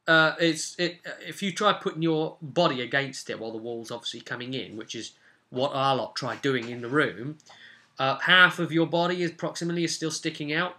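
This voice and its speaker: Bright, forceful man explaining game sessions. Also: on the high side. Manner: animated, emphatic explaining with repetition and rhetorical asides. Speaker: game master and video host. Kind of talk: casual, rambling recap of a tabletop roleplaying session.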